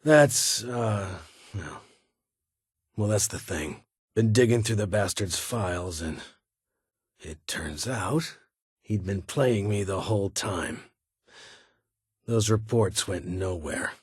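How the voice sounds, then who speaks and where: An actor playing a stern, guarded security chief in a post-apocalyptic video game, with a raspy voice and a formal, measured, dry manner. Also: male voice, fairly low pitch, animated, dry recording, exaggerated voice. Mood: grave, reflective, then bitter, betrayed. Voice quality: husky, raspy.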